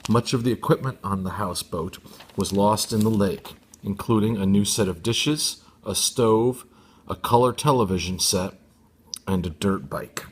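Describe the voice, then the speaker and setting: A man with a fairly low voice reads a novel for young readers. His phrasing is deliberate and emphatic, in an expressive audiobook style. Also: husky. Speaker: storybook reader.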